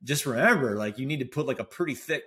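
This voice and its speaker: A man's voice: mid-range voice, expressive, fast, fairly bright tone, breathy edge. A man co-hosting a casual podcast about investing, chatty and upbeat, laughing often. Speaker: podcast co-host.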